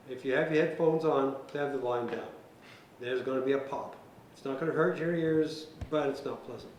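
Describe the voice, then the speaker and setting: A man giving an informal hands-on technical tutorial as an instructor, speaking deliberately and methodically with pauses mid-sentence. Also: a little high. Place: indoor set room by a theater stage. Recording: roomy echo with faint background noise.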